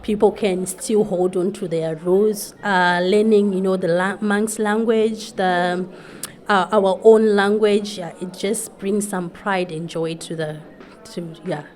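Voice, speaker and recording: A woman's voice: medium pitch, steady tone, takes it slowly, a little mellow. A woman in her thirties from Zimbabwe, whose first language is Shona, answering radio interview questions in a hesitant, thoughtful way with many fillers. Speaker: guest. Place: community event.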